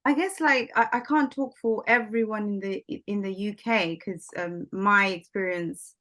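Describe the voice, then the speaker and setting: A woman's voice: medium pitch, fairly even intonation, unhurried, a bit bright. A woman whose first language is Swahili, born in Somalia, talking casually as a guest with many fillers like "like" and "um". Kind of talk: casual conversation.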